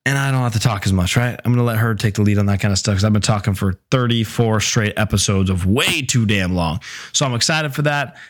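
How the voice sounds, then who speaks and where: A young man hosting his own podcast in a casual, chatty way, with a sore, hoarse voice that is giving out. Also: on the low side, fast talker. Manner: laid-back, chatty, swears casually. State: sore throat, losing voice. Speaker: podcast host. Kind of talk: casual solo podcast chat.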